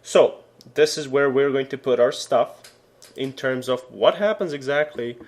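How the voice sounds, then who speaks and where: A man's voice: medium pitch, unhurried, darkish tone. A man from Serbia giving a casual coding tutorial, rambling with many fillers and self-corrections. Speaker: programming tutor.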